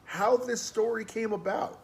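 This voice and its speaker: A man's voice: high voice, a little slow, creaky, a loud crowd. A man hosting an online interview, speaking enthusiastically and praising the guest's work. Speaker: interviewer host.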